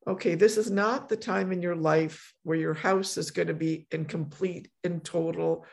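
A middle-aged woman hosting a podcast, talking in a relaxed, chatty way. Her voice has a gravelly edge. She keeps stopping and restarting her sentences and fills the gaps with words like "you know.". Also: lowish voice, normal speed. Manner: chatty, many fillers and restarts. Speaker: podcast host. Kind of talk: casual conversation.

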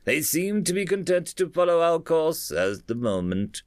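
A man voicing a dutiful male sensor technician, brisk and matter-of-fact, reporting in a science fiction audiobook. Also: fairly high pitch, big pitch swings, no room sound, studio quality, voice pushed up.

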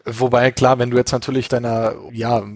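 A man's voice: mid-range voice, flat delivery, moderate pace, slightly rough, no echo. A man from Berlin hosting a casual interview, chatty and joking. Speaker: interview host.

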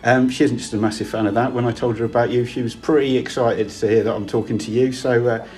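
Man, interviewer, relaxed and hesitant, average pitch, faint background music. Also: fast. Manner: relaxed, hesitant, repeats himself. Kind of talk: casual interview, reading out questions.

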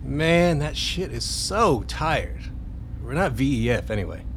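A man's voice: mid-range voice, big pitch swings, a little slow, a bit bright, a little hiss or hum. An actor playing a scruffy, irreverent young man with a casual, slangy way of talking in a radio play. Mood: casual, dismissive. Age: young adult. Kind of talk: radio drama acting.

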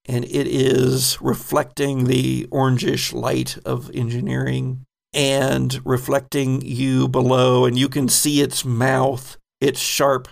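A man narrating a tabletop horror game as game master, in slow, deliberate descriptions broken by chuckles. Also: mid-range voice, vocal fry.

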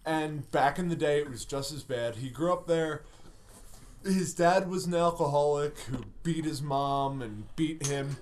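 A man's voice: on the high side, unhurried. Rambling man telling a crude story. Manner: rambling, filler-heavy, laughing often. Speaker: podcast host telling a story.

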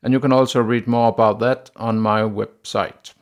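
A man from Denmark with a Danish accent, hosting a solo business podcast in a steady, plain way with frequent "uh" pauses. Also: mid-range voice. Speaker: podcast host.

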